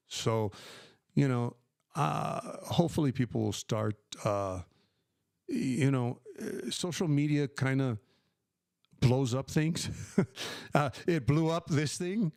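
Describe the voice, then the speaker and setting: A man's voice: mid-range voice, darkish tone, clean tone, dry recording. Older American man, retired streamer, clean resonant voice, dry close sound, chatty with lots of "um"s. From United States. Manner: conversational, many fillers, answers viewer questions by name. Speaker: live stream host. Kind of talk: casual live stream Q&A.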